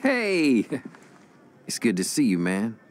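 An actor playing a blunt, cocky young gang boss in a video game cutscene. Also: male voice, mid-range voice, wildly swooping pitch, slow pace. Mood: friendly. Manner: blunt, cocky, plain-spoken.

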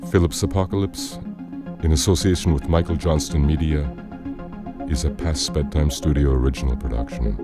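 A male announcer with an extremely low voice reads scripted credits. Loud music plays beneath him throughout, in the manner of a drama podcast sign-off. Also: normal speed.

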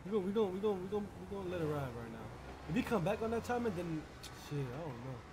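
A man's voice: high voice, sing-song, quick pace, background noise. A young man doing casual, slangy street banter in an online roleplay game, often repeating his phrases. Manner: slangy, repetitive.

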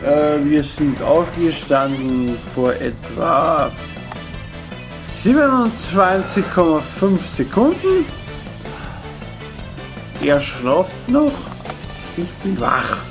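A young man giving a playful, halting video diary into a camcorder, repeating himself. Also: a little high, lively intonation. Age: young adult. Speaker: vlogger. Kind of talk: joking morning video diary. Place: indoor room. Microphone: camcorder at arm's length. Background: music.

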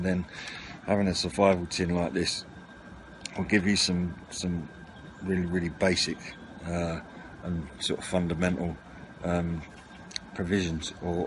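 A man giving an informal, slightly rambling and hesitant run-through to camera, recorded outdoors at a table on a camera mic. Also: low-pitched. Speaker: video channel host. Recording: noisy outdoor home recording.

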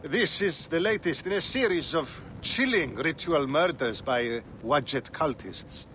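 A man's voice: on the high side, expressive, unhurried, bright, forceful tone, slight background noise. An actor playing a weary, wry male lawman who is out of his depth, with a slightly hesitant manner, in a video game. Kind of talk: dramatic game cutscene dialogue.

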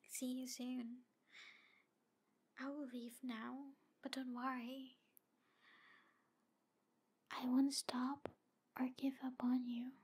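A jealous demon woman, acted by a woman in an intimate ASMR roleplay. Her voice is high and slow, breathy and halting, pleading with obsessive devotion and often sinking toward a whisper. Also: flat delivery, a little mellow, heavily acted. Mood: quietly menacing.

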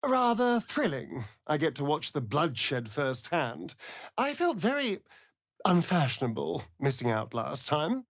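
A man's voice: fairly high pitch, big pitch swings, measured pace, dry recording, full character voice. Sardonic ancient vampire, arch and theatrical, video game style, takes his time, close dry sound. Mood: amused. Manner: arch, drawling, flamboyant delivery.

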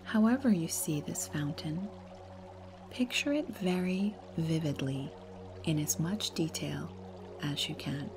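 A woman leading a guided meditation in a soft, breathy, soothing and unhurried way. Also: low-pitched, expressive, background noise. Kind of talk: slow guided meditation narration. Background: meditation music.

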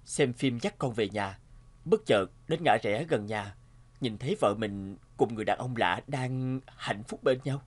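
A man playing a troubled, sentimental family man in an animated drama, speaking earnestly and reflectively. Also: medium pitch, measured pace, breathy edge. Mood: pained.

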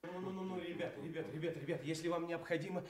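A man's voice: on the high side, steady tone, a little mellow, lightly acted. An actor playing a nervous, placating barman in a radio drama, ingratiating and repeating himself. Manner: hurried, repetitive, ingratiating.

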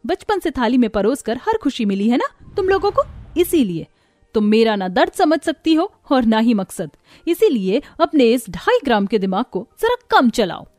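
A woman's voice: a little high, very animated, moderate pace, darkish tone, no echo, soft background music. A woman voicing a feisty, determined poor young village sister in a cartoon story, defiant and earnest.

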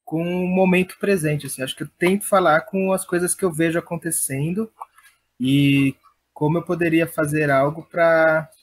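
A man with a São Paulo accent gives reflective interview answers. His voice sits a bit high and has a husky, unclear grain. He feels his way through sentences, hesitating and tagging phrases with small fillers. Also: steady tone, moderate pace. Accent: São Paulo Brazilian. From Perus, São Paulo, Brazil. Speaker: interviewed playwright and theatre director.

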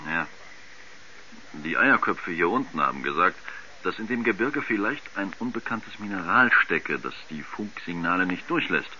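An actor playing an irreverent mission radio operator, answering bluntly and colloquially in an old radio play. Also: male voice, low-pitched, expressive, moderate pace, bright, forceful tone, full character voice. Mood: blunt, matter-of-fact. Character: plain-spoken, irreverent male mission radio operator being interviewed. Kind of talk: 1970s German radio drama acting. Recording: dull vintage radio recording, faint background noise.

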